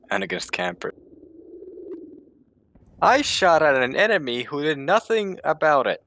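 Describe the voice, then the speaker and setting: A man's voice: on the high side, wildly swooping pitch, measured pace, pushed and bright. A man co-commentating a strategy game match in a chatty way, wandering into rambling asides. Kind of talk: casual co-commentary.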